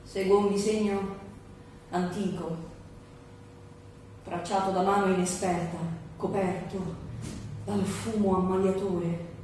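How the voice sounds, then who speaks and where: An actress playing an introspective woman, reciting a surreal poetic stage monologue in a solemn, measured way with long pauses. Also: flat delivery, darkish tone, natural voice. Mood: solemn, dreamy. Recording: echoey stage, distant mic, rumbly noise.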